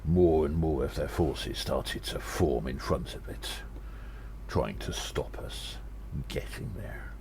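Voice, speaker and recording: A man's voice: rumbling low, creaky, background noise, full character voice. A man voicing a small gnome adventurer who recounts battles with theatrical gravity in an audio drama. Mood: grim, determined. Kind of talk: audio drama storytelling, first-person narration.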